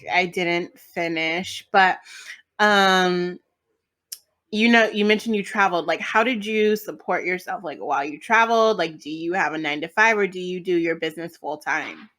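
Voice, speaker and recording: A woman hosting a casual interview, relaxed and filling her questions with "like" and "um". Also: fairly low pitch. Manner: relaxed, full of fillers like "like" and "um". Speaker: interview host.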